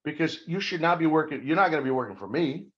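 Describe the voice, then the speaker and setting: A man's voice: a little high, lively intonation, fast, muffled recording. A middle-aged man from Brazil, a guest on an interview podcast, talking emphatically about leadership and making self-deprecating jokes. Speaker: corporate security guest.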